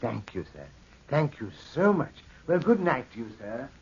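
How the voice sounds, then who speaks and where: An actor playing an obsequious, coaxing household servant with a husky voice in an old-time radio drama. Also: male voice, medium pitch, sing-song, moderate pace, exaggerated voice. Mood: deferential, wheedling. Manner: deferential, coaxing. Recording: muffled vintage radio recording.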